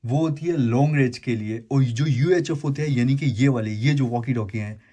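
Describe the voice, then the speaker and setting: A man with a dark, soft-edged and husky voice hosts a gadget unboxing. He talks casually and informally at a medium pitch, dropping English words into his explanations. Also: moderate pace. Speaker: YouTube host unboxing and reviewing gadgets.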